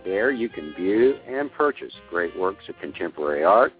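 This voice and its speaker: A man's voice: low voice, big pitch swings, normal speed. A man hosting an interview show, reading a polished intro and asking questions. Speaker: radio show host. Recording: tinny phone-like audio with faint music.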